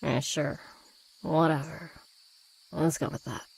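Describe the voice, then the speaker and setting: A woman's voice: sits low, steady tone, slow, gravelly, a little mellow, slightly rough, exaggerated voice, low-quality recording. A woman voicing a rebellious, sardonic teenage schoolgirl in a visual novel, with a dry, taunting, laid-back manner. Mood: dismissive.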